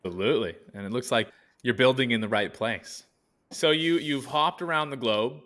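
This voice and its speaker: A man's voice: mid-range voice, moderate pace, fairly bright tone. A man hosting an interview podcast, asking casual, upbeat, rambling questions. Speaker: podcast host.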